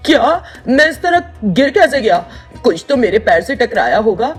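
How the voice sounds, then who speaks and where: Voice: mid-range voice, sing-song, normal speed, breathy edge, soft background music. An actor voicing a proud, defiant village man in a cartoon story, indignant and declamatory. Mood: baffled. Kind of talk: Hindi cartoon moral story voice acting.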